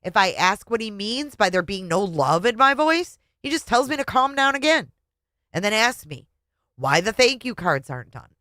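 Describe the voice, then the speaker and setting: A woman voicing an exasperated wife in a story, pleading and high-strung as she begs to be understood. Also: sing-song, moderate pace, pushed and bright, no echo. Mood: upset, confused.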